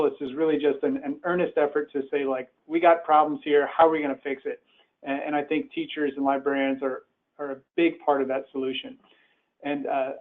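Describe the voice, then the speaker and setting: An earnest, conversational man born in Iran, giving a presentation from home over a call. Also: on the high side. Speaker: webinar speaker. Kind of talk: presentation talk. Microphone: remote call. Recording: tinny phone-like web call audio.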